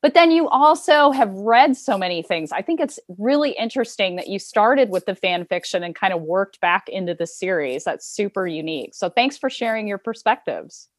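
A woman's voice: medium pitch, expressive, normal speed, hardly any pauses, dry recording. A woman hosting a podcast interview, speaking to guests and listeners. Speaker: podcast host.

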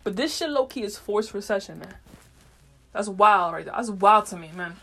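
A woman's voice: mid-range voice, animated, normal speed, fairly bright tone. A woman talking off the cuff as a podcast host in a casual, slangy monologue, swearing freely and meandering as she thinks aloud. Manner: slangy, heavy swearing, unfiltered.

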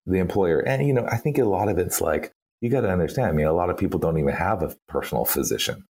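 A man's voice: low voice, expressive, quick pace, darkish tone, slightly rough. A man with a Texan accent, a guest in an interview, talking casually and thinking aloud with lots of fillers. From Texas. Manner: chatty, thinks aloud with many "like" and "you know". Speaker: interview guest, campaign strategist.

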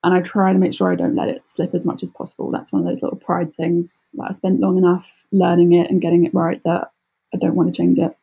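A British woman speaking casually as an interview guest, chatty and expressive, often acting out little quotes. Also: fairly low pitch, quick pace, creaky, breathy edge, muffled recording. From United Kingdom. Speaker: podcast guest, travel blogger.

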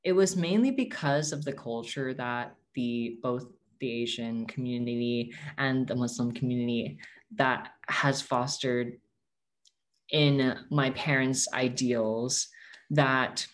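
A man's voice: medium pitch, fairly bright tone. Earnest young man answering an interview. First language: Tagalog. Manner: earnest and reflective, with frequent self-corrections. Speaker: interviewee, activist.

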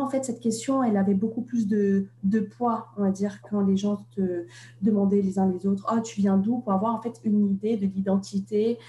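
A young woman from Vietnam speaks as an author being interviewed. Her voice is mellow and soft, and she hesitates often on fillers and restarts. The recording is roomy and echoey, with a faint hum behind her. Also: medium pitch. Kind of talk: reflective interview conversation.

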